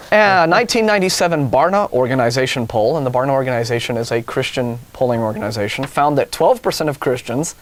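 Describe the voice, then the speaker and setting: An American man co-hosting a talk show, reading out news items with casual, wry and mocking asides. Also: medium pitch. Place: TV studio.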